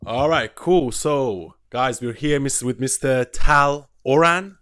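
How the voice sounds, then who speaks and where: A man's voice: mid-range voice, slow pace, fairly bright tone, smooth, dry recording, studio quality. Emphatic casual male interview host. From Iran.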